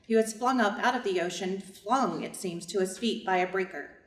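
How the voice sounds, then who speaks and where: A woman's voice: mid-range voice, moderate pace, close to an everyday voice. A woman reading the part of a devoted family servant who narrates a story in a scripted staged reading. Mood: earnest storytelling. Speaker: reader's theater narrator. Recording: echoey room.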